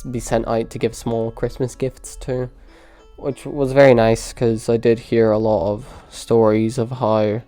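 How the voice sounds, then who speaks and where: A young man from Poland with a Northern Irish accent, telling his own story in a casual, dry, self-deprecating way. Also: mellow. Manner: dry, self-deprecating, low-key delivery. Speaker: vlogger.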